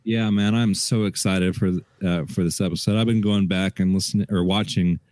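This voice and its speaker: A young man co-hosting a casual podcast, relaxed and rambling, often restarting his sentences. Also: low voice, normal speed, no room sound. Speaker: podcast co-host. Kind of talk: casual chat.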